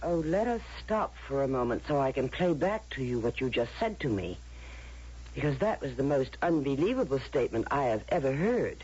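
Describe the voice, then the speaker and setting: An actress playing a coldly patronizing woman of authority in a radio drama, deliberate and probing, on a muffled old broadcast. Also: very deep voice, big pitch swings, darkish tone, husky, heavily acted. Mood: condescending, insistent. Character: coldly patronizing female authority of a future society.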